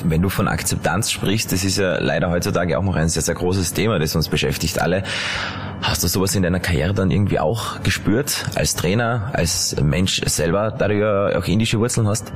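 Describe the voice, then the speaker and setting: A man's voice: deep voice, moderate pace, a little hiss or hum. A man hosting a radio interview, asking friendly, direct questions. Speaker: radio host.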